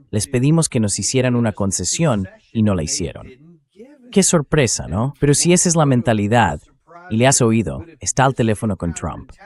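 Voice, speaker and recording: Guy with a lowish voice doing dubbed interview host stuff, chatty, asks questions. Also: a little mellow. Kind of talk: translated voice-over of a political interview.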